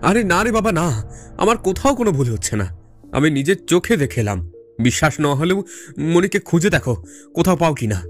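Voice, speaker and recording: A man's voice: on the high side, very animated, fast talker, pauses often, faint music behind. An actor playing an earnest, insistent man who is a friend and narrator in a dramatic audio story. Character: urgent male friend bringing bad news, also narrating.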